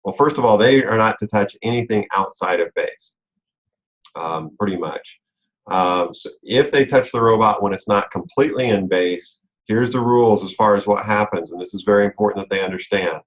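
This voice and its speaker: Low-voiced American man, dull call audio. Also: normal speed. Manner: conversational, explanatory, talks through rules step by step. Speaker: robotics coach leading a coaches conference call. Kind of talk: informal instructional explanation.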